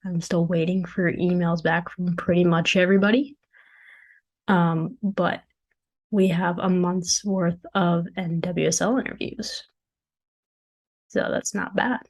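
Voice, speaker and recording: A young woman with a hoarse voice, hosting her own podcast in casual, chatty solo talk from her dorm room, with self-deprecating jokes. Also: on the low side, little pitch movement, measured pace, pauses often. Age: young adult. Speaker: podcast host and founder. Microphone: desk mic at a computer.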